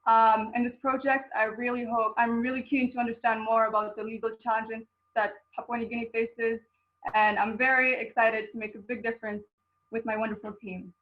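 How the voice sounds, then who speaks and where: A woman's voice: a little high, flat delivery, fairly quick. A young woman from Poland, a law student, introducing herself earnestly over a video call. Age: young adult. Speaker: student project founder. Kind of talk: self-introduction in a webinar. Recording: tinny call audio.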